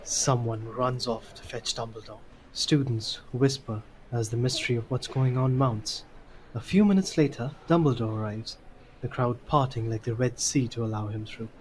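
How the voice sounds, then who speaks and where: A man's voice: medium pitch, steady tone, normal speed, slight background noise, rough recording. A man reading scripted story narration for an audio drama.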